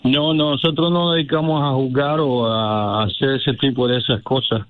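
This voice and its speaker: A man's voice: medium pitch, steady tone, moderate pace, soft background music. A middle-aged man from Mexico talking politely and patiently on a phone call. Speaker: electrician, prank call victim. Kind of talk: phone conversation. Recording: dull, band-limited phone line on radio.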